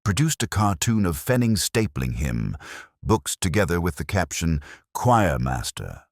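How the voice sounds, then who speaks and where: A man's voice: low voice, sing-song, moderate pace, no room sound, studio quality. A man reading a scripted detective story as its narrator. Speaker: audiobook narrator.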